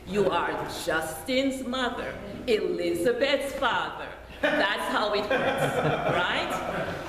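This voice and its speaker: A middle-aged woman from Jamaica with a Jamaican accent, a deacon preaching a sermon in slow, deliberate storytelling, recorded in a church sanctuary. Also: on the high side, a bit bright. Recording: echoey church hall with faint noise.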